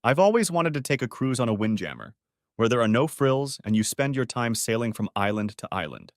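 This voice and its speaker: Expressive male storyteller, dry studio sound. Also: mid-range voice, fairly quick, no echo. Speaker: narrator of a first-person story. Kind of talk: scripted story narration.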